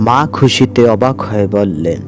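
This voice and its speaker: A man's voice: fairly low pitch, lively intonation, moderate pace. A man giving scripted narration for a cartoon story. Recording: music behind the voice.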